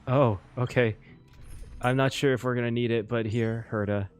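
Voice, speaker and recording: A man's voice: mid-range voice, steady tone, normal speed, faint music behind. A man giving casual reaction commentary as a game streamer, informal and prone to swearing.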